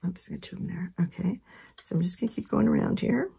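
A woman with a hoarse voice from a head cold, giving a relaxed, chatty craft tutorial at her work table. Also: on the low side, fast, a little hiss or hum. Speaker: craft instructor. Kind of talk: casual step-by-step tutorial.

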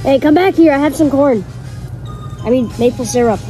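A woman's voice: fairly high pitch, expressive, normal speed, dark tone, faint music behind, lightly acted. A woman voicing a sinister, impatient clown monster who coaxes from a sewer in a comedy parody skit. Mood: coaxing, impatient.

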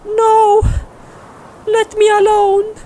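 A woman's voice: very high-pitched, completely flat delivery, extremely slow, dark tone, slight background noise, heavily acted. A woman voicing a young cartoon girlfriend who cries out in simple, broken phrases. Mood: distressed.

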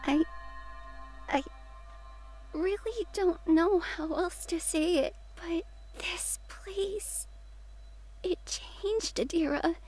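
A timid young girl in a visual novel, speaks in a very high, breathy little voice. She is sad and anxious, very slow and hesitant, trailing off as she pleads. Music plays behind her. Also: heavily acted, poor audio. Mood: troubled, fearful. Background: soft game music.

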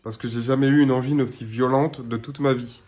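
A man in his thirties with a blocked nose and slightly hoarse voice, chatting casually to his webcam at home as a gaming YouTuber, rambling with lots of filler sounds. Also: medium pitch, steady tone, a little fast, darkish tone. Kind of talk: casual to-camera chat. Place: small room at home.

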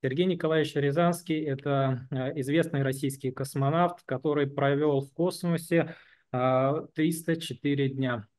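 A young man interpreting live over a video call, halting and searching for words with many fillers. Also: on the high side, fairly even intonation, darkish tone.

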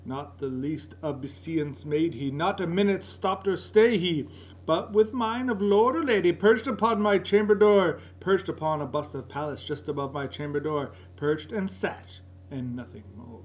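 A man's voice: on the high side, normal speed. A middle-aged man giving a dramatic, theatrical recitation of a gothic poem in an indoor room. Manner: theatrical, swinging from hushed murmurs to loud cries. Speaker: poetry reader, library director. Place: indoor room by a curtained window. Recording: noisy room recording with steady hum.

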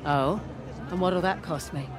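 A woman's voice: on the low side, measured pace, over a noisy crowd, lightly acted. A woman playing a wry, guarded adventurer in her thirties in a video game, with a dry, understated delivery. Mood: dry, teasing. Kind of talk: naturalistic cinematic video game acting. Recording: clear outdoor street ambience behind.

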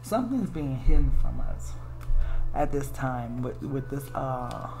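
A woman's voice: very deep voice, expressive, measured pace, vocal fry, over music, rough recording. An American woman giving a casual, chatty tarot reading, musing aloud in informal, slangy, salty language. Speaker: tarot reader.

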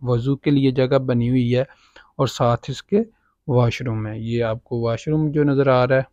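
A man's voice: medium pitch, steady tone, measured pace, a little mellow, dry recording, muffled recording. A man with a Pakistani Urdu accent gives a casual walking tour of a farmhouse as a property agent, pointing things out as he goes with many fillers. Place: outdoors on a farm property, walking.

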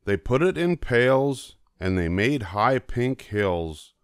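An older man reading a rhyming children's story aloud as an expressive narrator. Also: mid-range voice, slow, clean tone, no echo, studio quality. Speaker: storybook reader.

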